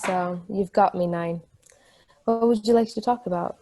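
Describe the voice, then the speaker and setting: Woman acting a patient, mellow-voiced friendly woman. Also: mid-range voice, natural voice. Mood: gentle, reassuring. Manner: reassuring, conversational, unhurried. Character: friendly, patient woman, gently encouraging newcomer. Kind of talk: stageplay table read.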